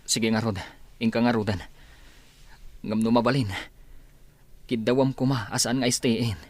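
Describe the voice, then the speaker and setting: A man's voice: medium pitch, steady tone, unhurried, no room sound, close to an everyday voice. A man acting a helpful older-brother figure in an Ilocano radio comedy drama.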